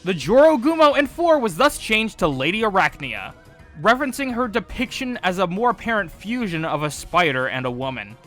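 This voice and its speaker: A man presenting scripted video commentary as host, with an expressive, emphatic delivery. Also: high voice, normal speed. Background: background music.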